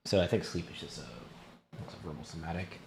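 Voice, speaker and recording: A man's voice: sits low, fast, darkish tone, a little hiss or hum. A man talking casually as a player in a tabletop role-playing game.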